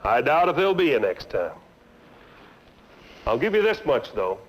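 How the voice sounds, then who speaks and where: A man's voice: medium pitch, very animated, normal speed, poor audio. An actor playing an angry male car owner in a vintage training film, blunt and sarcastic as he complains about bad service. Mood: grudging. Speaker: dissatisfied customer. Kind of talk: 1950s training film acting.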